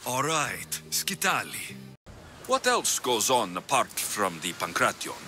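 A man voicing a gruff, sardonic mercenary warrior in a video game. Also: mid-range voice, big pitch swings, takes it slowly. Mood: dry, wry. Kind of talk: video game cutscene acting. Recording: game music behind the voice.

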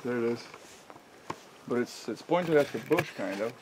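A man's voice: mid-range voice, lively intonation, moderate pace, noisy background. A man trading casual, playful quips with friends outdoors at a campsite, caught by a camera microphone. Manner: playful, quipping. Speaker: camping companion. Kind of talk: casual joking banter. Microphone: camera microphone, a little away. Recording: outdoor camera audio with background chatter.

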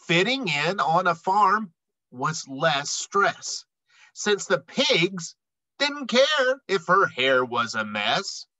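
A middle-aged man reading a children's picture book aloud expressively, with emphatic, dramatic phrasing and deliberate pauses. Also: high-pitched, sharp tone, dry recording, poor audio. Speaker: read-aloud storyteller.

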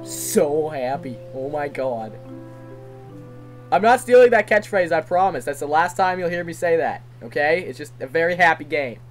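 A man's voice: a little high, expressive, normal speed. A man giving casual, rambling gameplay commentary, self-deprecating and given to swearing. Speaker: let's play commentator. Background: game music.

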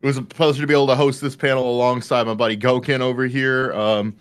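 A male panel host with a clear, smooth voice at a middling pitch. He speaks informally and haltingly, circling back to repeat phrases as he goes. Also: little pitch movement. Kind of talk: casual panel hosting.

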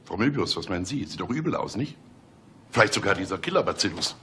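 A man's voice: low-pitched, very animated, quick pace, sharp tone, heavily acted. A man acting in a German radio play as a smug, teasing middle-aged police chief, condescending and mock-solemn. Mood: mock concern.

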